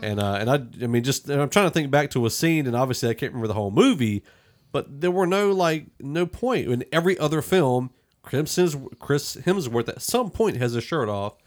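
A man's voice: mid-range voice, animated, brisk. Opinionated man hosting casual film talk. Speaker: podcast host. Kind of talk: casual film review discussion.

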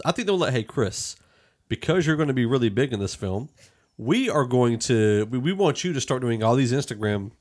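A man hosting a podcast, talking through a film review in a rambling, conversational way. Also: mid-range voice. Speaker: podcast host. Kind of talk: casual movie review discussion.

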